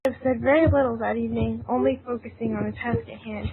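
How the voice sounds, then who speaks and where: Female story narrator, higher register, amateur group reading, thin lo-fi line. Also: steady tone, fast talker, gravelly. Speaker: narrator reading a fan fiction aloud. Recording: tinny narrow phone-like line, hissy noise.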